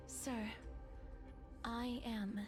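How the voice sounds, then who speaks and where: Gentle death maiden: lightly high, breathy, very unhurried, music underneath. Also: female voice. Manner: soft, breathy, slow and deliberate. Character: gentle, solemn young maiden of death, softly composed. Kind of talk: video game voice acting.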